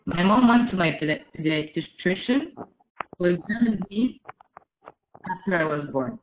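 A woman in her twenties from Egypt giving a prepared webinar talk, careful and measured, with frequent "uh" fillers. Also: lowish voice, lively intonation, background noise. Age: 20s. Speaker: webinar speaker. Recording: echoey, phone-like webinar audio.

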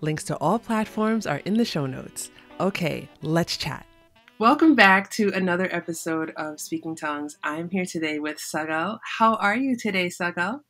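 Low-voiced upbeat woman podcast host. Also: expressive, normal speed, fairly bright tone, faint music behind. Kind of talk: podcast intro and interview.

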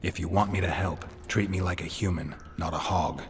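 A man voicing a weary, sardonic imprisoned monster hunter in a video game, with dry, deadpan delivery. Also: low-pitched, moderate pace, background noise, natural voice. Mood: calm, matter-of-fact.

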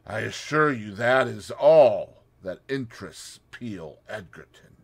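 A cool, scornful society schemer, put on by a man reading aloud, unhurried and measured. Her lines come slow and level, with a bright, clear tone and lively turns. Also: mid-range voice, pitched above the performer's own voice, heavily acted.

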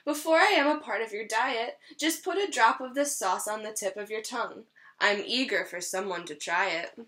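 A clever, brave young cowboy from a picture book, voiced by a woman. He is polite and eager with a plan, in a high, bright voice. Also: normal speed. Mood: sly, polite.

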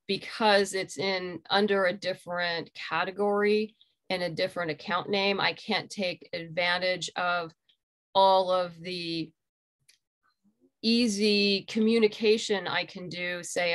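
A middle-aged woman with a slightly low voice talks as a guest in a casual interview. She explains in an informal, conversational way, speaking from home over a video call. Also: a bit bright. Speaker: interview guest, graphic novel creator. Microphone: video call computer microphone.

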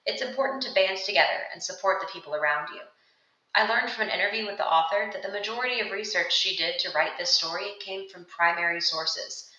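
A young woman reads her book recommendations aloud in a steady, earnest voice, a little low in pitch and bright in tone. The recording sounds roomy and slightly dull, as if made in an echoey room. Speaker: student presenting book recommendations. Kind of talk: scripted narration of a video ad. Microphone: voice-over over still book pages.

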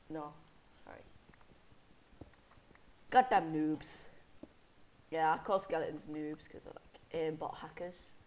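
A young man with a sniffly head cold, casually commenting on a game he is playing, rambling and correcting himself as he goes. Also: fairly high pitch. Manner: rambling, self-correcting, mock-angry at enemies. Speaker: gameplay commentator.

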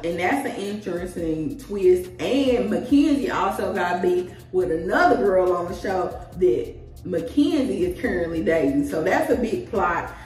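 A woman, chatting casually to camera in a rambling, animated, slangy way as a vlogger. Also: on the low side. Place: small tiled indoor room at home. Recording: echoey room, distant mic, music behind.

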